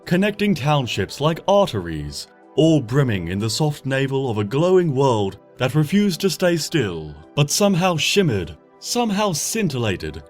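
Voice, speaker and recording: Animated male storyteller over soft music. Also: medium pitch. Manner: lively, dramatic storytelling with soft, hushed passages. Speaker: fanfiction reader. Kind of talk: expressive audiobook narration. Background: soft background music.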